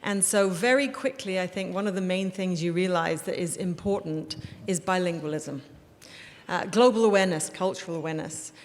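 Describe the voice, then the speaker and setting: A woman's voice: lowish voice, moderate pace, slight background noise. A woman from Hong Kong speaking as a school principal on a panel, earnest and full of fillers. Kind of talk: panel discussion on stage. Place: event hall before an audience. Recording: reverberant event hall.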